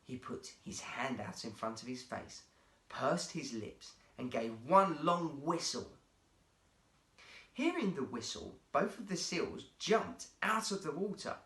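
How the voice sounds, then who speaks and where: A man reading a children's story aloud as an expressive storyteller. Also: mid-range voice, takes it slowly, creaky, reverberant. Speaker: guest storyteller, actor.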